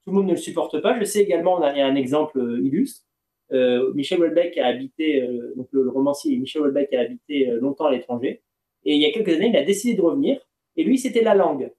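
A man's voice: on the high side, lively intonation, fast, dark tone. A man from France, speaking candidly and earnestly in a discussion, often hesitating and rephrasing. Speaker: panel guest. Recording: roomy, echoing sound.

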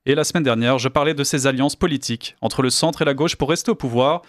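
A man's voice: mid-range voice, quick pace, dry recording, studio quality. A man reading scripted political commentary in a sardonic, indignant manner. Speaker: commentator presenting news clips.

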